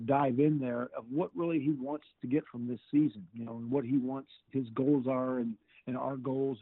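A man's voice: medium pitch, breathy edge, no echo. Middle-aged coach guy on a phone line, stop-start talk, repeats himself a lot, dry thin sound. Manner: halting, repeats words often, upbeat. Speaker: football defensive coordinator. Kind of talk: interview answers.

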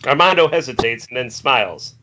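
A man's voice: mid-range voice, expressive, a little fast, muffled recording, background noise. A man narrating the stage directions of a screenplay at a table read over an internet call. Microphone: internet video call.

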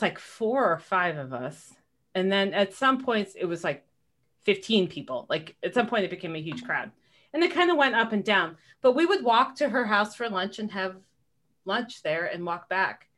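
A woman hosts a relaxed podcast chat in an earnest, warm way. She reminisces and draws her guest out with follow-up questions. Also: medium pitch. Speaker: podcast host. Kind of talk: casual podcast conversation.

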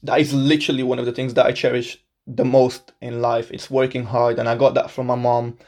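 A man's voice: medium pitch, flat delivery, a little fast, a little mellow. Upbeat young man hosting a podcast. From Portugal. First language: Portuguese. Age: 20s. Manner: upbeat, chatty, self-deprecating with laughs. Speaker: podcast host. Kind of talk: casual solo podcast introduction.

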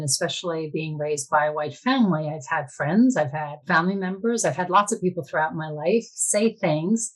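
A woman speaking reflectively about personal experience as an interview guest, in a measured, thoughtful way. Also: fairly low pitch, low-quality recording.

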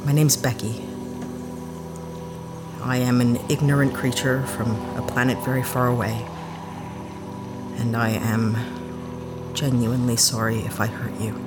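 An actress playing a sarcastic young heroine in an audio drama. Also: female voice, rumbling low, level tone, unhurried, long pauses. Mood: earnest. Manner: sarcastic, exasperated. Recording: studio drama with music bed.

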